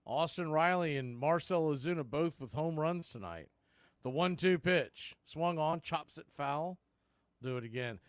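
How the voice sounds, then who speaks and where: Guy doing live baseball play by play, kinda high voice, takes his time, really dry close sound. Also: unhurried, clean tone, no room sound. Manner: swings from hushed calls to excited shouts. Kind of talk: live radio play-by-play commentary.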